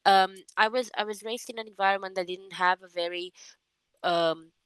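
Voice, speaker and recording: A young woman from Romania, a native Romanian speaker, giving thoughtful, measured interview answers with frequent pauses and restarts. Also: medium pitch, steady tone, a bit bright, smooth, no echo, low-quality recording. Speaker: guest.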